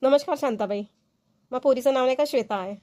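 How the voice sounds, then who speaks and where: A woman acting as a polite, accommodating village sister-in-law in a cartoon. She has a slightly high voice and a Vidarbha Marathi accent, and she speaks briskly and colloquially. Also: warm tone, lightly acted. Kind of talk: amateur cartoon dialogue acting.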